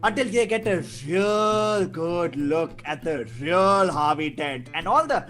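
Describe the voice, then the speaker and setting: Someone playing a slow, taunting, gleeful clown villain. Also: mid-range voice, expressive, fairly bright tone. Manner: taunting, drawn-out phrasing, giggly. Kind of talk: comic table read of a film script. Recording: live guitar music behind online reading. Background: plucked guitar music.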